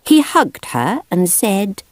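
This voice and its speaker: A woman narrating a children's picture book aloud in an expressive storytelling manner. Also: mid-range voice, slow pace, dry recording.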